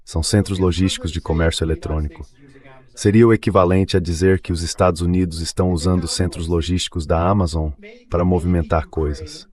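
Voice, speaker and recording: A man's voice: deep voice, fairly even intonation, a little fast, a little mellow. A man with a Brazilian accent in a translated voice-over of political analysis, speaking as a commentator. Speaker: guest commentator.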